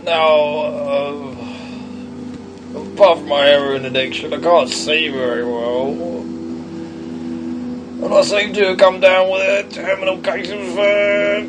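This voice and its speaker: A man voicing a resigned, frail old widowed recluse who rambles haltingly with drawn-out words, as an audio character sketch. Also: steady tone. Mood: resigned, rambling. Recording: clearly noisy background rumble.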